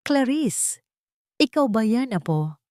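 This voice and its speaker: An elderly, wealthy grandfather, as a woman narrator puts him on, speaks with a trembling, yearning voice. The pitch is lifted and the questions come out hesitant and full of feeling, in audio drama style. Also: big pitch swings, slow pace, a little mellow, no room sound, clean studio sound. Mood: trembling, hopeful. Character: frail old rich grandfather, trembling and yearning.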